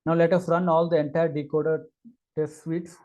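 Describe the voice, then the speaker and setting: Male engineer, mellow tone, raised pitch, hesitant demo narration full of fillers. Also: flat delivery. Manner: halting, many fillers and restarts. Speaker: engineer giving a product demo. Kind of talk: screen-recorded technical walkthrough.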